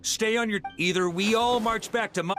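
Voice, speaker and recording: A man playing a bold, hearty grandmaster knight in a video game, with a gravelly voice and a defiant, rallying manner. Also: sits high, normal speed, fairly bright tone. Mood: rallying, strained. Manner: swaggering, rallying battle leader. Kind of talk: English video game voice acting. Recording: game music behind the voice. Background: game music.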